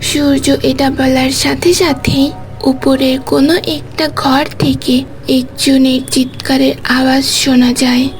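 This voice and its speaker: A woman giving scripted narration of a story, deliberate, with pauses between phrases. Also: high voice, flat delivery, faint music behind.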